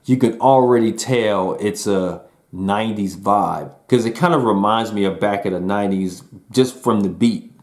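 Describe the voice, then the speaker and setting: Casual male host for a music review, low-pitched, relaxed slangy delivery with fillers. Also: normal speed. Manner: laid-back slangy talk, lots of "man" and "um". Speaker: album reviewer.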